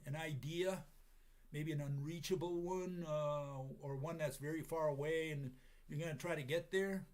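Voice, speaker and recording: Raspy-voiced man lecturing through a cold. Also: a little high. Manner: halting, many fillers, chuckles at himself. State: hoarse from a cold. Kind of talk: casual livestream lecture.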